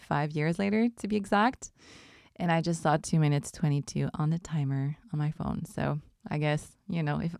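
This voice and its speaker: A woman in her thirties with a French Canadian accent talks through a solo podcast episode in a low, unhurried voice. Her tone is very smooth and clear, and the recording is dry and close with no room sound. She muses aloud with plenty of ums. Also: dry recording. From Montreal, Canada. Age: 30s. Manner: unhurried, musing, with many ums and soft laughs. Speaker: podcast host. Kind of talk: reflective solo podcast monologue.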